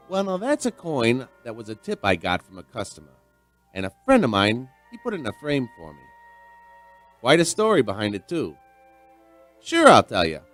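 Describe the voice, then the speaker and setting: An actor playing a chatty, folksy bar owner, rambling conversationally through a radio drama monologue. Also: male voice, on the high side, a bit bright, dry recording. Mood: affable, chatty.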